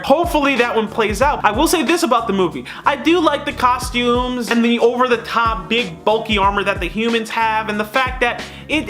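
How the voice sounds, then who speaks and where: A man's voice: very high-pitched, pushed and bright. A man hosting a casual, opinionated review, talking straight to viewers in a conversational, emphatic way. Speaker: film reviewer and host.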